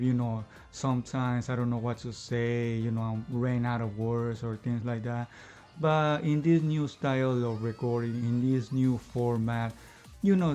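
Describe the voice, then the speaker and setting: A man's voice: medium pitch, a little mellow. Rambling man, a bit out of breath. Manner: rambling, fills pauses with "you know" and "I guess you can say". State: slightly out of breath. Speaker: anime reviewer. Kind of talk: casual unscripted review in one take.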